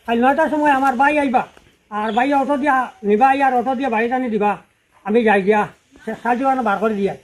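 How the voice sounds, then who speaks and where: Very high-pitched middle-aged Sylheti man. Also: a little fast, poor audio. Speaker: father-in-law telling his family's story. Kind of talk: unscripted personal account to listeners.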